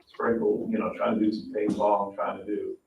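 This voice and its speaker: A mature man thinking aloud in an informal meeting, tentative and halting as he puts questions. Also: fairly low pitch, flat delivery, creaky. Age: middle-aged or older, a grandfather. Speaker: redevelopment commission meeting participant. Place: meeting room, mic at a distance. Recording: roomy, distant, dull, faint noise.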